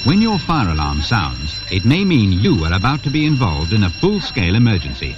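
Low-pitched, animated British male film presenter. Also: a little fast, over music. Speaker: presenter and narrator of a fire safety training film. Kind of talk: scripted instructional narration to viewer. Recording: muffled, noisy 1980s 16mm film soundtrack.

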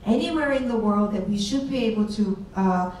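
A woman's voice: mid-range voice, fairly quick, a little mellow, slight background noise. Woman, startup co-founder on a panel, hesitant with fillers; far camera in a very echoey hall. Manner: hesitant, many fillers and restarts. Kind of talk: panel discussion answers. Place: large event room with audience. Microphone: handheld mic, far camera. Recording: echoey room recorded from far off.

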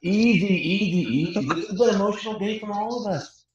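A man's voice: sits high, a little fast, close to an everyday voice. A man playing a laid-back, nosy, dim young male model with slangy speech in a comedy table read. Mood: coaxing. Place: at home on a video call. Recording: muffled video call audio.

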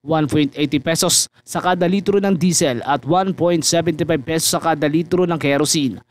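A man reporting the news in a steady, formal scripted voice-over. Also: on the high side, moderate pace.

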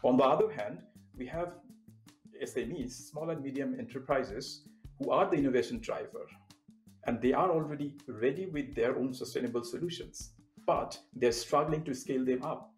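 A young man from Bangladesh, a sustainability consultant, giving a prepared remote talk in a steady, measured way with occasional fillers. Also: medium pitch, echoey room, faint music behind. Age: young adult. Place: indoor room with plain wall.

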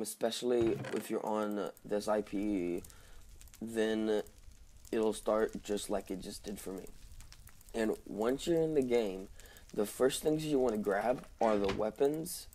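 A man with a slightly hoarse, just-woken voice giving casual, halting gameplay commentary as he thinks aloud. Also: lowish voice, a little mellow, a little hiss or hum. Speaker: gaming video host.

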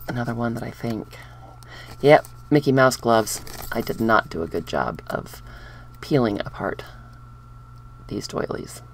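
A woman's voice: rumbling low, lively intonation, unhurried, long pauses, slight background noise, low-quality recording. A woman hosting a casual craft tutorial, chatting upbeat with viewers while showing her dyed papers at a table. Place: indoors at a wooden craft table.

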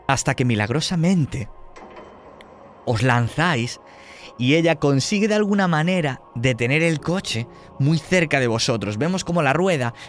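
Man, game master, medium pitch, dramatic suspenseful narration, tabletop horror game, faint music.

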